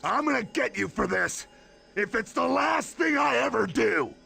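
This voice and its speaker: An actor voicing a brutish, hot-tempered hired supervillain in a video game, with a gravelly, raspy voice and slangy, blustering speech. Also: male voice, very high voice, animated, moderate pace, bright, forceful tone, shouting, soft background music, heavily acted. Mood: furious. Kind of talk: animated video game villain acting.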